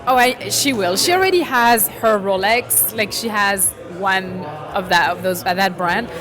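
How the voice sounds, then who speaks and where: A middle-aged woman from France, talking casually in an interview, animated and laughing, often trailing off and restarting. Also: medium pitch, a little slow, music in the background. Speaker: interviewee, watch collector. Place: busy indoor event. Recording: crowd chatter behind.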